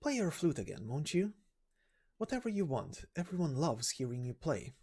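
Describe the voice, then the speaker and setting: Low-voiced woman reading a game story. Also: very animated, moderate pace, dark tone, husky. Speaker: amateur narrator and voice actor of game stories. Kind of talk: character voicing of a game story.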